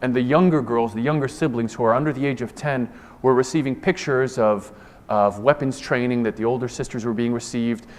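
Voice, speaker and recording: A man's voice: mid-range voice, steady tone. Earnest American man giving a talk. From Ohio, United States. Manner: earnest, deliberate, with frequent restarts and repeated words. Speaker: government official giving a presentation. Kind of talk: formal conference presentation. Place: conference room.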